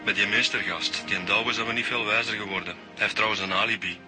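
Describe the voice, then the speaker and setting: A man's voice: on the low side, steady tone, fast, lightly acted. A man with a Flemish accent playing a down-to-earth police detective reporting to his boss in a radio crime drama. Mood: matter-of-fact. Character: down-to-earth male police detective, loyal subordinate. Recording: music under the voice.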